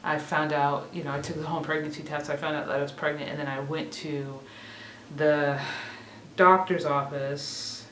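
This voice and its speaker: A woman's voice: sits low, level tone, moderate pace, a bit bright. A woman in her thirties chatting casually to camera as a vlogger, rambling and laughing at herself. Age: 30s. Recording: echoey room, mic a bit far off, faint hiss.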